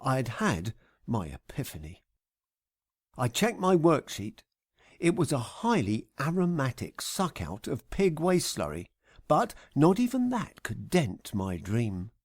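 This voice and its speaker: A middle-aged man reading scripted first-person comic narration in a wry, deadpan, mock-grand manner. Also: medium pitch, unhurried, dry recording, studio quality. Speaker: author reading own audiobook.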